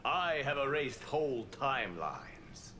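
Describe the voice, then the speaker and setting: Solemn guardian god, slow, measured, game dialogue, heavy background chatter. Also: male voice, mid-range voice, very animated. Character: calm, stern god, guardian of time. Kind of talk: fighting game voice acting.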